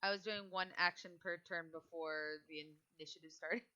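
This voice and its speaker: A woman's voice: fairly low pitch, steady tone, brisk, sharp tone, dull audio. A woman running a tabletop game as game master, casually switching between narration and rules talk in an informal way.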